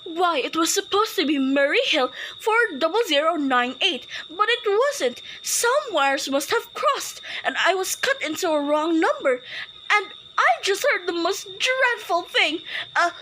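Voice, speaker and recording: A woman's voice: extremely high pitch, animated, unhurried, bright, forceful tone, exaggerated voice, low-quality recording. A woman acting in a radio play as an anxious, imperious, sickly housewife, fretful, demanding and dramatic. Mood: agitated.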